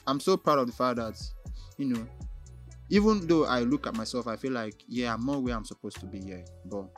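A man with a Nigerian, Igbo-influenced accent, a native Igbo speaker, answering casually as an interview guest, often pausing and circling back as he thinks aloud. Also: medium pitch, expressive, soft background music. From Onitsha, southeastern Nigeria. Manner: halting, frequently repeats "like" and "you know" while thinking aloud. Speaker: music artist interviewed as guest.

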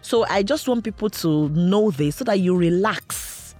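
Woman from nigeria, interview guest, loud carrying voice, earnest, mid pitch, faint background music. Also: lively intonation, unhurried. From Nigeria, Cross River. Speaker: interview guest, industry veteran. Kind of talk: conversational interview.

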